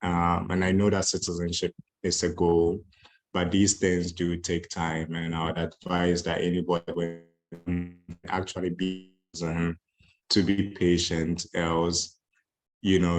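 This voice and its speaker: A man giving a relaxed talk with many fillers, speaking on a video call. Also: deep voice, a little slow, rough recording. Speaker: conference speaker on moving to Ghana. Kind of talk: casual talk.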